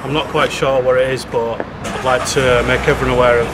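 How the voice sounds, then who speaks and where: Dry-humoured man over loud traffic. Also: mid-range voice, fairly quick. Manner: relaxed, dry humour, trailing fillers. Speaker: vlog co-host. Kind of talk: casual chat. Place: outdoors on streets and by a car. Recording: loud street and traffic noise.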